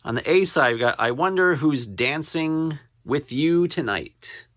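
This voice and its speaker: A man chatting casually as he shows off his finds as a collector, rambling and halting, often repeating what he reads out. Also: fairly high pitch, expressive, a bit bright, dry recording, clean studio sound. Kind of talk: casual show-and-tell to camera.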